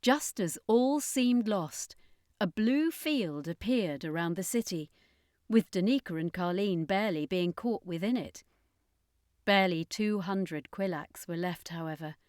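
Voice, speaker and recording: A woman's voice: mid-range voice, unhurried, a little mellow, no echo, studio quality. A woman reading an adventure story as narrator, in a dramatic scripted storytelling style.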